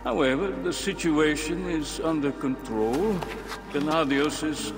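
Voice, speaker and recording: A man playing a calm, formal conspirator reading out a secret letter in a video game. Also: on the high side, unhurried, music in the background. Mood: cool, formal.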